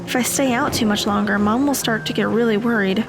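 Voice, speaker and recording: Woman playing a troubled teenage girl, highish voice. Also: fairly even intonation, fairly quick, natural voice. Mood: reflective, remorseful. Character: troubled, self-critical teenage girl, quiet and kind. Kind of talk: audio drama acting with inner monologue. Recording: music behind the voice.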